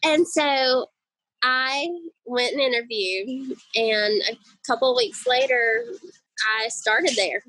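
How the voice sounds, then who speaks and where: High-voiced woman telling her story. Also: sing-song, takes it slowly, pushed and bright, low-quality recording. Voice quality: high, girly voice. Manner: chatty, enthusiastic storytelling. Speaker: podcast guest. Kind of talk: casual interview conversation.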